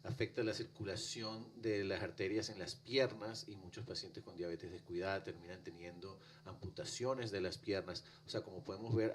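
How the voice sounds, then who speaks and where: Guest cardiologist from Venezuela, man, low voice, measured delivery, faint hum behind. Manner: calm, measured medical explanation. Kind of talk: explanatory interview answers.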